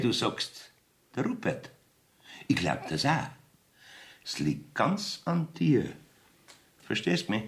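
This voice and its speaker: A man's voice: mid-range voice, a bit bright, heavily acted. An actor playing a wily, evasive village judge in a Bavarian dialect radio play, blustering and wheedling as he rambles.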